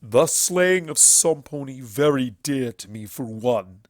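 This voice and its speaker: A man's voice: medium pitch, lively intonation, takes it slowly, dry recording, studio quality. A man voicing a bitter, contemptuous alicorn stallion in a storybook reading, curt and accusing in manner. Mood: accusing, angry. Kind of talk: fan fiction audiobook character acting.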